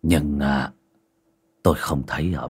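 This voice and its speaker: A man voicing a gaunt older fortune-teller who speaks in a hushed, sighing way. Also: extremely low pitch, steady tone, unhurried, husky, voice pushed down, exaggerated voice. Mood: stern. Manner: hushed, half-whispered, sighing.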